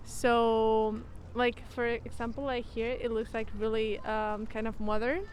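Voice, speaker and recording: A young woman from Spain chatting outdoors, filling pauses with "like". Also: on the high side, flat delivery, slight background noise. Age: young adult. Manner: chatty, heavy use of "like". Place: outdoors in a city. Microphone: handheld mic held by interviewer.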